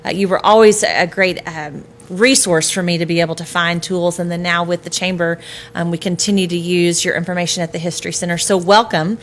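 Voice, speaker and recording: A woman's voice: fairly low pitch, steady tone, a little fast, slight background noise. A woman hosting a chamber of commerce podcast, upbeat and chatty with frequent filler words. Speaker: host, chamber of commerce president.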